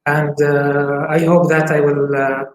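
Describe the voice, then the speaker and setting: A man from Syria in his forties, a gynecologist giving interview answers in a halting way with many filler pauses. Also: on the high side, level tone. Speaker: gynecologist guest. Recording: echoey room, faint hiss.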